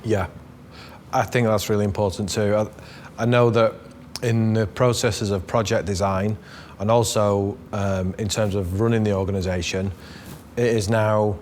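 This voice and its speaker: A man interviewing a colleague, asking questions in a relaxed way and pausing to search for words. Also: fairly low pitch, slight background noise. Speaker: interviewer, executive director. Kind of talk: interview questions, casual talk.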